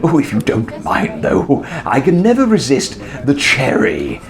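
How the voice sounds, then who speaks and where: An actor playing a fussy, theatrical English village vicar in a comic radio drama, flattering and dithering as he goes. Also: medium pitch. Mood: unctuous, jovial. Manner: effusive, dithering, drawn-out delivery. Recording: very echoey, reverberant room.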